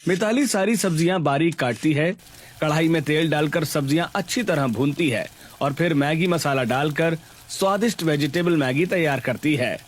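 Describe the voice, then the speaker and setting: A man's voice: a little high, moderate pace. A man narrating a scripted Hindi cartoon story.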